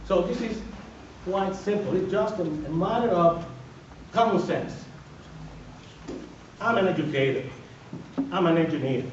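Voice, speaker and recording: A man with a Dominican Spanish accent speaks in a fairly high voice, giving an emphatic campaign speech at a forum and driving his points home by repeating them. He sounds far from the microphone in a very echoey hall, and the radio recording is dull. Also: a little slow, pauses often, slight background noise. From Dominican Republic. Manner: emphatic, repetitive, builds to firm declarations. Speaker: mayoral candidate.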